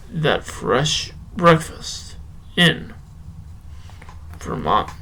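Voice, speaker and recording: A man playing a slow, earnest poetry show host with a drawn-out, halting delivery. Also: sits high, fairly bright tone, smooth, pitched above the performer's own voice, heavily acted. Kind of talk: halting poetry recital and rambling podcast talk.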